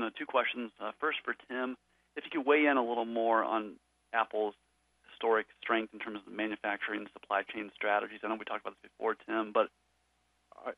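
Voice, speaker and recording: A man's voice: on the low side, creaky, no echo. A man speaking as a financial analyst, putting questions to executives on an earnings call. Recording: dry, narrow phone line.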